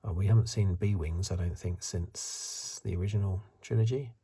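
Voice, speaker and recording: A man giving soft-spoken, half-whispered ASMR commentary in a husky, breathy voice, musing and rambling as he goes. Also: low-pitched, fairly even intonation, moderate pace. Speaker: ASMR reviewer.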